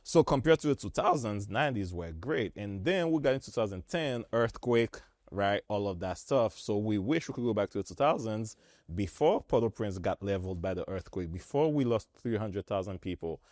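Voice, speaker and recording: Deep-voiced man with a Haitian accent. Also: normal speed, no room sound, clean studio sound. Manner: relaxed, repeats words, laughs easily. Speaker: host. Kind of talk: conversational discussion.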